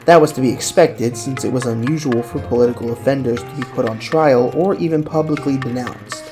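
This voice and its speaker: A man narrates a dramatized audiobook in a medium-pitched voice. He reads scripted literary prose, and music plays clearly behind him.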